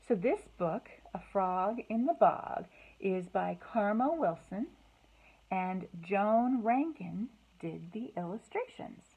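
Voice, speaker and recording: A woman hosting a children's storytime, speaking in an expressive, sing-song way to young listeners, in slightly dull, lo-fi sound. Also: medium pitch, slow pace, husky. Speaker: library storytime host. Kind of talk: children's storytime, read-aloud and singalong.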